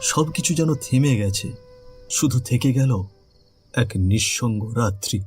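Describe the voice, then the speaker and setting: Male narrator for horror cartoon: slow, solemn, theatrical storytelling over faint music. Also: mid-range voice, lively intonation, darkish tone.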